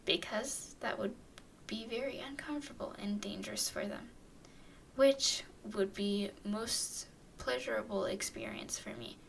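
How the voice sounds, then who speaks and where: Woman voicing a slow-talking, haughty vampire girl. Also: medium pitch, gravelly, in a whisper. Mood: reluctant, confiding. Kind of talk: ASMR roleplay, acted monologue to listener.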